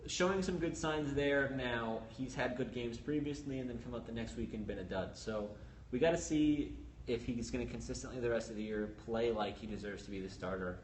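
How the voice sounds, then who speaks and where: A man co-hosting a casual sports podcast, explaining stats and game outlooks in a brisk, analytical way. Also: mid-range voice. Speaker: sports reporter co-host. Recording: roomy echo with faint ambient noise.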